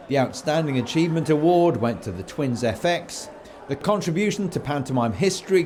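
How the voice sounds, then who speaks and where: A man's voice: medium pitch, a little mellow. A man presenting a podcast as its host, reading scripted announcements and links. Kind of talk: scripted presenting and backstage interviewing.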